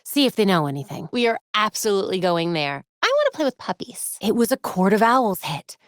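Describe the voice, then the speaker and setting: A woman's voice: mid-range voice, big pitch swings, a little fast, no echo, clean studio sound, lightly acted. A woman playing a young female superhero in a video game, witty, determined and brainy, with a wry conversational delivery. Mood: wry, playful.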